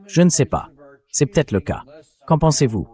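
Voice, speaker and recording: A man's voice: medium pitch, sing-song, normal speed, mellow. An AI-voiced man speaking as a talk show host, giving dubbed political commentary. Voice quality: synthetic AI voice.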